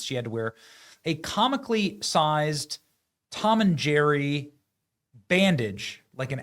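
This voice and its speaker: A man hosting online political commentary, talking in a mocking, sarcastic and emphatic way. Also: on the high side.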